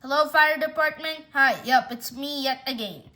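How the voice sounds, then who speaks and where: A woman's voice: sits high, moderate pace, bright, forceful tone. A woman voicing a goofy, easygoing middle-aged uncle who rambles in a folksy way, in a storybook reading. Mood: cheerful, sheepish.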